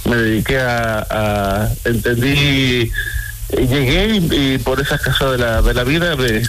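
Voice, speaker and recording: A man's voice: mid-range voice, measured pace, a bit bright, faint music behind. A man in his sixties with an Argentine accent, chatting casually as a caller and repeating words as he explains. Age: 60s. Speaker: radio caller, builder. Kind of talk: casual phone-in chat. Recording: noisy radio phone-in call.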